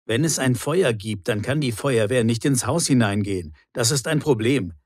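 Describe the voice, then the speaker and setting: Particular male neighbour, acted by a man, reproachful, clearly articulated, medium pitch, dry recording, lesson dialogue. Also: expressive, normal speed, no room sound, lightly acted. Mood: complaining.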